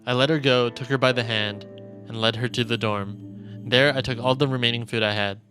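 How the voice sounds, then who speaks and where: A man with a mid-pitched voice reads visual novel text aloud for a stream. He narrates dramatically and in a measured way, like a storyteller, with music softly behind him. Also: steady tone. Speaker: streamer reading a game aloud. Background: game music.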